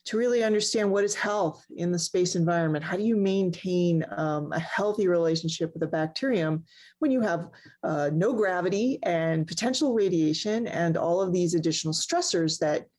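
A mature woman with a low voice talks as a research scientist being interviewed. She lays out her work articulately, in plain everyday terms. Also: moderate pace, a little mellow. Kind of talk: explanatory interview talk.

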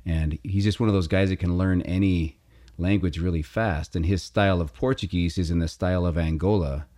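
A man's voice: sits low, steady tone, fairly quick, mellow. A man from Montana, a musician guest, talking in a rambling, hesitant way and laughing easily. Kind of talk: casual phone interview. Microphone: phone call.

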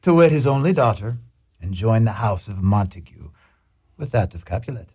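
An actor playing a joyful, solemn friar in a comic Shakespearean radio drama, speaking in a declamatory, ceremonious way. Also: male voice, on the low side, lively intonation, normal speed, a little mellow, slightly rough. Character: joyful, solemn friar blessing young lovers.

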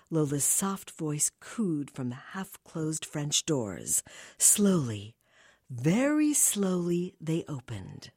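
Woman reading fiction, expressive, very varied intonation, dramatic pauses, dry close recording. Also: fairly low pitch, husky, dry recording. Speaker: reader of a short story. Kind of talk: expressive scripted story narration.

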